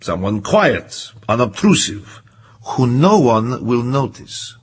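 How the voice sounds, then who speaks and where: An actor playing a grave, earnest middle-aged Soviet intelligence colonel, in a radio drama. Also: male voice, medium pitch, big pitch swings, takes it slowly, slightly rough, heavily acted. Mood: cautious, confiding.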